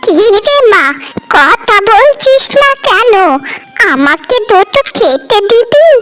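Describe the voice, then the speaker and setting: Cartoon old beggar woman, acted by a woman: preachy, drawn-out, extremely high, very animated pitch. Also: slight background noise, heavily acted. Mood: pleading. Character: old beggar woman, hungry, pleading, preachy village elder. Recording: music behind the voice.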